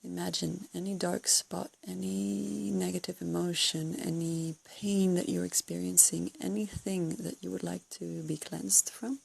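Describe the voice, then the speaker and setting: A woman leading a guided meditation, giving calm, unscripted instructions in a soothing, breathy way with gentle pauses. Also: on the low side, low-quality recording. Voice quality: breathy, husky, creaky.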